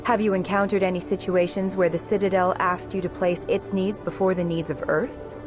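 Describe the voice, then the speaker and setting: A woman's voice: on the low side, level tone, brisk, music in the background, lightly acted. A woman playing a polished, needling TV news reporter in a video game. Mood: probing.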